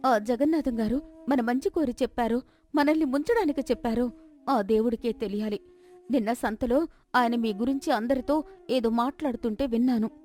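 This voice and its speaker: A woman's voice: a little high, normal speed, mellow, natural voice. A woman playing a worried, sensible farmer's wife in an acted folk tale, pleading and cautioning. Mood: warning, worried. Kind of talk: dramatized folk moral story acting.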